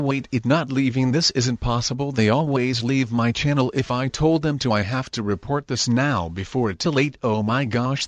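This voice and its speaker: A man's voice: mid-range voice, no echo, lightly acted. A synthetic male voice playing a worried video creator in a scripted amateur drama, speaking anxious lines stiltedly. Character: worried male YouTuber besieged by online haters. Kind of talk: scripted story dialogue.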